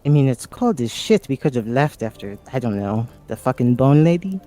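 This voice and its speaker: A tabletop game player role-playing in a casual, sweary way. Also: female voice, a bass voice, sing-song, moderate pace, dark tone, faint music behind. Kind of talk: casual in-character role-play.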